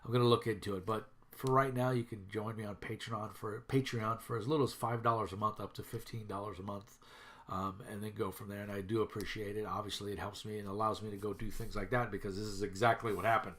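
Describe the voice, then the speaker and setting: A man's voice: on the low side, fairly even intonation, fast, fairly bright tone. A man in his thirties from Minnesota, stuffy with a head cold, rambling casually with many fillers as he reviews tabletop games for his channel.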